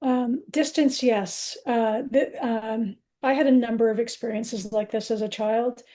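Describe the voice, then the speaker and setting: A woman's voice: on the high side, steady tone, measured pace, mellow. A woman in her sixties, a poet answering interview questions in a candid, reflective, conversational way. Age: 60s.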